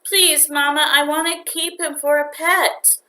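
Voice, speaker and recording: A woman's voice: high voice, steady tone, a little slow, bright, forceful tone, voice pushed up. A woman reading a storybook, voicing an earnest young boy who is eager and anxious to please. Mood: anxious pleading. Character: eager, pleading young boy attached to his pet.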